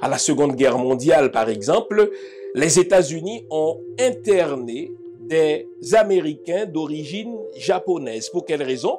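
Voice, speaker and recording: A man hosting a talk show, delivering an emphatic monologue full of rhetorical questions and asides. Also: fairly high pitch. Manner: emphatic, rhetorical questions, frequent "n'est-ce pas". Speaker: host.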